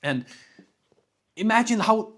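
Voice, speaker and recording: A man's voice: high-pitched, expressive, slow, fairly bright tone. Young Danish man rambling casually. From Denmark. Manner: rambling, swears casually, says "bro" and "like" a lot. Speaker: vlogger. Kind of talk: casual vlog monologue.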